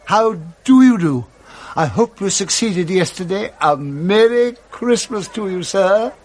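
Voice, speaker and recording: An excitable old Englishman, bubbling with joy and effusive goodwill. His voice rides high and swings widely in pitch, in the manner of 1960s radio drama. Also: measured pace. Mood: warmly grateful. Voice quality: excitable, chuckling. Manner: exclamatory, effusive.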